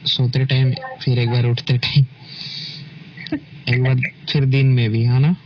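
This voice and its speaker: A man's voice: medium pitch, flat delivery, a little slow. A man in a casual, intimate phone conversation with his partner, often checking for agreement as he talks. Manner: often ends remarks seeking agreement. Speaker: partner in a private couple's phone call. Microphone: phone call. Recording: noisy, dull lo-fi phone call recording.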